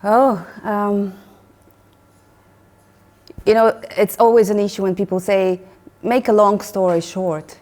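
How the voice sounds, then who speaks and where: Animated Moldovan-accented woman guest. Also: medium pitch, slow, pauses often. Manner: animated, laughing storyteller with restarts. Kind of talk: casual interview chat.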